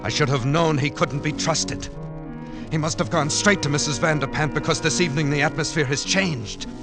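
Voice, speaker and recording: A man's voice: fairly high pitch, normal speed, natural voice. An actor playing an anxious, lovesick, pleading man in a vintage radio drama. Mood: distressed. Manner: earnest, pleading, theatrical. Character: lovesick, anxious man living secretly in a department store. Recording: old radio recording with music behind.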